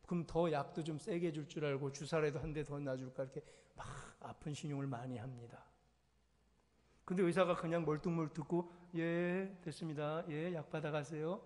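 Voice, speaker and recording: Male pastor, high voice, animated storytelling sermon, long church reverb. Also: brisk. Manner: animated, acts out little scenes and quotes. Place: church sanctuary.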